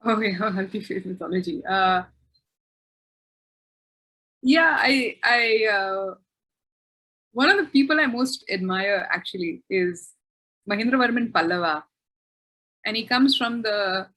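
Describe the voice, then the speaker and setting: A woman's voice: medium pitch, unhurried, long pauses, sharp tone, low-quality recording. A woman author answering questions in a webinar, thoughtful and self-correcting, with frequent restarts.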